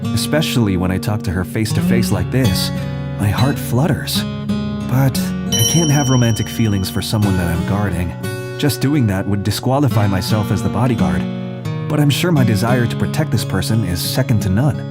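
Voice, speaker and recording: A man's voice: lowish voice, lively intonation, moderate pace, close to an everyday voice. A man voicing an earnest teenage boy, a secret bodyguard posing as a meek student, in a manga dub. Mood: earnest, reflective. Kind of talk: anime-style manga dub, acted dialogue and narration. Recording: music behind the voice.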